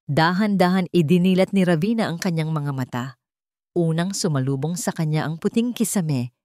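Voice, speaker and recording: Woman w lowish voice reading a dramatic love story, short deliberate phrases, dry close sound. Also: warm tone, no echo. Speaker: storyteller narrator. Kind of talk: scripted dramatic romance narration.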